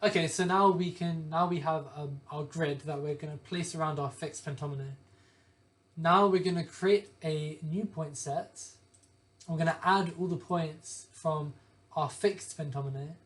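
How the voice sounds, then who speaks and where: A young man with a hoarse voice from a lingering cough, methodically explaining code step by step and often correcting himself. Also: fairly high pitch, measured pace. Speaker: programming tutor. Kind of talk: screen-share coding walkthrough.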